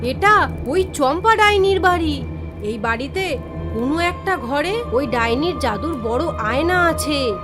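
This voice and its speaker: A woman's voice: high-pitched, lively intonation, unhurried, over music. A woman voicing a young village girl in a cartoon, a worried, scolding older sister. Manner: anxious, scolding, pleading. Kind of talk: cartoon dialogue acting.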